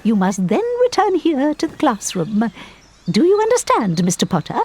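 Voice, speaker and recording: A strict but kindly schoolteacher witch for a video game. Her voice is fairly high and husky, swooping expressively as she gives formal, deliberate instruction. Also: wildly swooping pitch, dark tone, exaggerated voice. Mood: instructing. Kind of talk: video game character acting.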